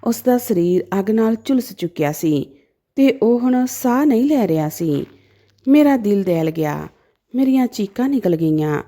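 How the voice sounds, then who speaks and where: A woman's voice: mid-range voice, expressive, moderate pace, mellow. A woman narrating a dramatic story in the first person as a storyteller.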